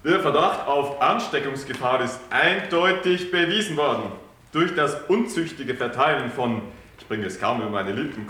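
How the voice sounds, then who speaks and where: A man's voice: a little high, bright, forceful tone. A man playing a smug, bigoted state prosecutor in a satirical radio play, sneering and self-righteous. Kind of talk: 1980s amateur radio play. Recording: roomy echo, faint hiss.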